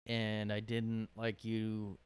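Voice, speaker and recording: A man's voice: on the low side, monotone, slow pace, smooth. A man hosting a casual solo podcast, rambling with lots of fillers and sounding worn out after a cold. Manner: rambling, hesitant, many fillers. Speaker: podcast host. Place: his own room.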